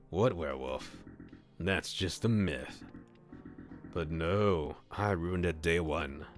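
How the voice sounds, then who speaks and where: A man streaming and reading a visual novel aloud, playful and thinking out loud about his choices. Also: low-pitched.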